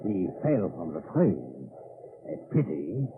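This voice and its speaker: An actor playing a sly German army officer in an old-time radio drama, expansive and mock-polite. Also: male voice, sits low, big pitch swings, slow, heavily acted. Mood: smug menace. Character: sly, tipsy-seeming German army officer, genial yet menacing. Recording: noisy, tinny old radio recording.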